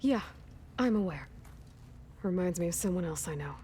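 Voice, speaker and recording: A woman's voice: on the low side, expressive, moderate pace, mellow, a little hiss or hum, close to an everyday voice. A woman voicing a guarded, determined young female warrior in a video game, with dry, sardonic delivery.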